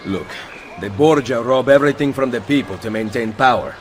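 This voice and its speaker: A man's voice: mid-range voice, fairly quick, a little hiss or hum, lightly acted. A man playing a resolute, composed assassin leader with an Italian accent in a video game. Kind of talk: video game cutscene acting.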